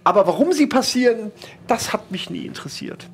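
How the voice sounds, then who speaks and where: A man voicing a world-weary, snobbish old aristocrat who talks in a halting, condescending, aloof way. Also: sits high, big pitch swings, creaky, faint music behind, full character voice.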